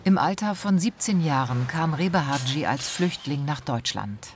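A woman narrates a television report in an even, informative voice. The read is measured and unhurried, with a steady hiss under it. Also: low-pitched. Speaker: documentary narrator. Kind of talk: scripted voice-over narration.

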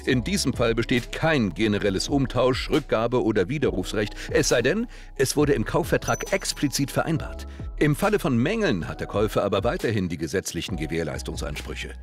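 A man reading a scripted, formal explanation as a voice-over narrator. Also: mid-range voice. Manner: formal, informative.

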